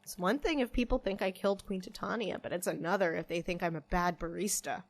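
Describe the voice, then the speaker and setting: A woman's voice: lowish voice, quick pace. A woman playing a stressed, stubborn barista in an audio drama, with a wry, defensive, casual way of talking. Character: stressed, stubborn half-human young-looking woman barista, grieving, wry.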